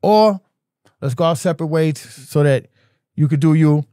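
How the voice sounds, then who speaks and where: A man's voice: a little high, moderate pace, warm tone, clean tone, no room sound. Earnest older man, dry studio. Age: 60s. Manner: earnest, repeats himself. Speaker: podcast guest. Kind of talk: casual chat.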